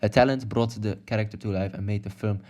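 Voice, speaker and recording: Rambling Belgian-accented man, low voice. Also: fairly even intonation, fast talker, dark tone. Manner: rambling, many fillers and restarts, laughs often. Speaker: YouTube commentator. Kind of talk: casual video commentary partly read from notes.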